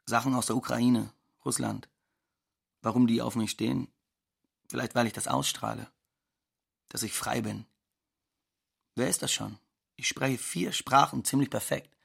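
An actor playing a cocky, scornful young migrant odd-jobber in a radio drama monologue, blunt and offhand, with a gravelly, husky voice. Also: male voice, mid-range voice, fairly even intonation, unhurried, pauses often, a bit bright, full character voice.

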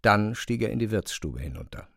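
A man's voice: lowish voice, very animated, brisk, vocal fry, no room sound. A man narrating a classic novel as a scripted literary reading, close to a studio microphone.

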